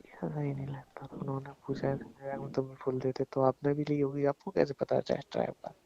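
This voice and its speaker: A man's voice: mid-range voice, monotone, fairly quick, gravelly, warm tone. A young man in a casual private phone chat, talking in a teasing way with short questions. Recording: dull lo-fi phone call recording.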